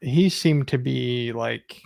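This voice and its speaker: Man, medium pitch, informal explainer, casual panel chat, dry recording. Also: takes it slowly, vocal fry, darkish tone, no room sound. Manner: informal explaining, frequent "like" as he talks.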